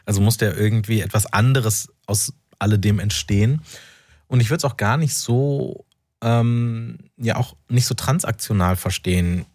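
A man from North Rhine-Westphalia, an author and journalist, speaking as a radio interview guest in a thoughtful, halting way with many fillers. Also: on the low side, darkish tone, dry recording, studio quality.

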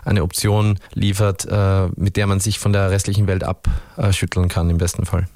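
A man's voice: low voice, little pitch movement, moderate pace, a little mellow, no echo, clean studio sound. A man with an Austrian accent, a musician answering radio interview questions in a thoughtful, rambling way with many restarts. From Upper Austria. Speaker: musician guest.

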